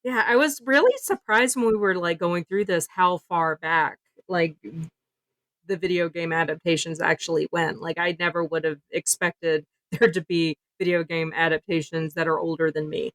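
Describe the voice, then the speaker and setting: A woman's voice: on the low side, steady tone, normal speed, fairly bright tone, no room sound. Giggly, informal woman podcast co-host. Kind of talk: casual podcast discussion.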